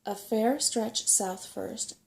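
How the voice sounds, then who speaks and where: A woman's voice: mid-range voice, slow, vocal fry, a little mellow. A woman voicing a fussy, chatty, know-it-all old male rat in a storybook reading, with emphatic delivery. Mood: brisk, knowing.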